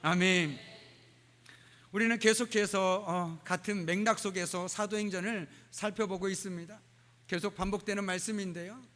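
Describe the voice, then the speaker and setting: A man's voice: sits high, fairly even intonation, moderate pace, a bit bright. A man preaching a sermon as a pastor in a church, dropping dialect phrases and frequent "uh" fillers. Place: church sanctuary. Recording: church hall with long reverb.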